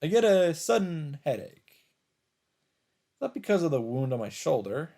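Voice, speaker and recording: A man doing a let's play, reading a visual novel's narration aloud in a measured storytelling delivery. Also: a little high, sing-song, creaky, dark tone.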